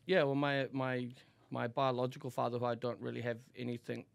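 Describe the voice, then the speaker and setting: A man's voice: mid-range voice, little pitch movement, fairly quick, creaky. A man from Auckland with a New Zealand accent, telling candid personal stories as a podcast guest in a giggly, rambling, slangy way. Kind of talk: casual, candid personal storytelling.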